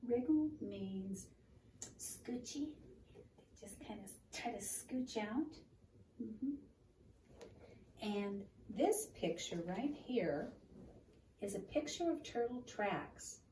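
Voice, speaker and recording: A woman reads aloud for children in a slow, gentle, teacherly manner. Her tone is mellow and she pauses to explain things. The recording is roomy, with some noise in the room. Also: medium pitch, lively intonation, far from the mic. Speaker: children's storytime reader. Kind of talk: read-aloud picture book with explanations for a child.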